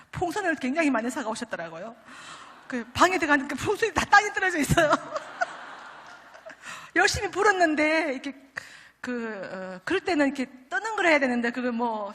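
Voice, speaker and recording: A middle-aged woman with a Gyeongsang accent delivers a chatty, joking sermon from the stage, teasing her listeners between her points. Her voice sits high, and it carries a long echo through a large church sanctuary. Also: bright, forceful tone. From Daegu, South Korea. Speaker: pastor's wife speaking at a prayer meeting. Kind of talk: humorous testimony sermon.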